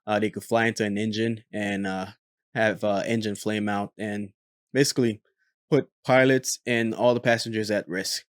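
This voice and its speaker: A man's voice: lowish voice, normal speed, no room sound, clean studio sound. Man hosting an aviation channel. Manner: relaxed, frequent filler "uh". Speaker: host, former military air traffic controller. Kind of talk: casual commentary on radio recordings.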